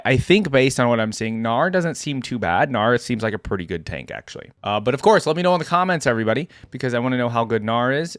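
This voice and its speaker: A man's voice: medium pitch, fairly bright tone, no room sound, clean studio sound. A man hosting a reaction video, giving casual commentary and musing aloud.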